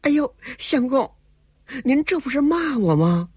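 A male storyteller voicing a soft, pleading young courtesan. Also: extremely high pitch, very animated, measured pace, no room sound, voice pushed up, exaggerated voice. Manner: coaxing, tearful pleading.

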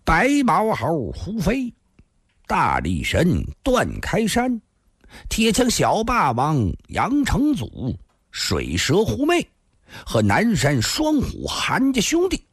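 A man's voice: high-pitched, huge pitch swings, husky, no room sound, clean studio sound, pitched above the performer's own voice, full character voice. A man voicing a cold, sneering martial artist in an audiobook, stretching out each word with menace. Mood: cold threat. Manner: slow, word-by-word, deliberate delivery.

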